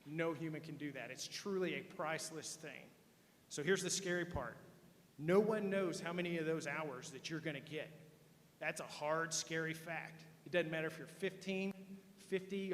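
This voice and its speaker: A middle-aged man speaks to a gym full of students in a folksy, joking way. His voice sits a bit high for a man. It comes from a distance and rings through a big echoey gym, with a clear hum of noise behind it. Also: fairly bright tone. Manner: folksy, joking, addresses the crowd directly. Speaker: hall of fame inductee speaker. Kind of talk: speech to high school students. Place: large school gym.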